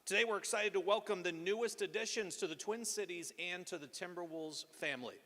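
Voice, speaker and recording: A male moderator reads scripted welcomes and announcements in a polished announcer style. His voice sits a little high, and it rings through a large, echoing hall. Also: fairly quick, pushed and bright. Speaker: press conference moderator, radio play-by-play announcer. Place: large indoor arena press conference. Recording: echoey hall with long reverb.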